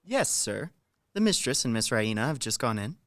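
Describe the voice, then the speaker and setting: Man playing a lively, dutiful household servant. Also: mid-range voice, moderate pace, a little hiss or hum. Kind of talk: radio play acting.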